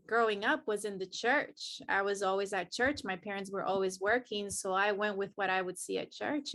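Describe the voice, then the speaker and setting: A woman from El Salvador speaks as a podcast guest, sharing her story in an earnest, reflective way. She often restarts her sentences as she searches for words, and her voice sits at a middle pitch. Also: fairly bright tone. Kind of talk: personal storytelling in an interview.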